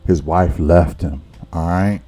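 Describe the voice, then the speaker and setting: A man's voice: deep voice, fairly even intonation, slow pace, very noisy. A man hosting a reaction channel, giving blunt, casual commentary on a relationship clip and often repeating words as he thinks.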